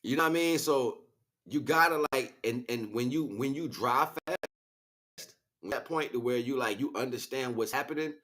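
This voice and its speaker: A man's voice: mid-range voice, moderate pace, fairly bright tone. A man livestreaming a casual, rambling spiritual monologue in street slang, often checking in with rhetorical questions. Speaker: livestream host.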